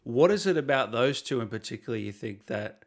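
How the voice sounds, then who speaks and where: Man, mid-pitched, interview host, casual, enthusiastic, slangy. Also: lively intonation, a little fast, creaky. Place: remote video call.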